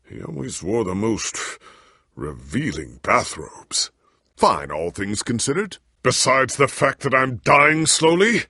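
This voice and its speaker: Casting: noble veteran swordsman for a game; formal, earnest, theatrical, raspy, unhurried delivery with forceful edge. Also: no room sound, clean studio sound, full character voice. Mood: wry, lofty, then weakened, struggling. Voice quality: deep, gravelly, raspy. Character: noble veteran warrior hero, proud, earnest, ailing. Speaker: voice actor in a video game. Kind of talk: dramatic video game dialogue.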